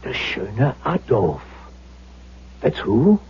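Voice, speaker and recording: An actor playing a brash, chummy and self-important young German political recruiter in a 1970s radio drama. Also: male voice, medium pitch, wildly swooping pitch, extremely slow, darkish tone, slightly rough, heavily acted. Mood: proud, eager. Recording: muffled, hissy 1970s radio recording.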